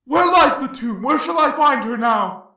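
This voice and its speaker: An actor playing a mighty, impassioned demigod hero in a Greek tragedy, declaiming archaic verse in a grand manner. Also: male voice, very high-pitched, lively intonation, measured pace, bright, forceful tone, heavily acted. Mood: anguished reproach. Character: mighty heroic demigod, grieved, indignant, then boldly resolute. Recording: roomy echo, distant from mic.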